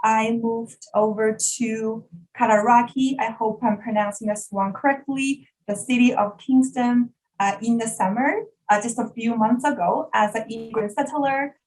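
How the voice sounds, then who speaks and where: A woman's voice: on the high side, fairly even intonation, moderate pace, darkish tone, rough recording. A woman from China, a native Mandarin speaker with a Mandarin Chinese accent, politely and formally presenting as the facilitator of an online workshop. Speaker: workshop facilitator, educational developer. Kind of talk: workshop introduction, prepared presentation. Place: online video call session.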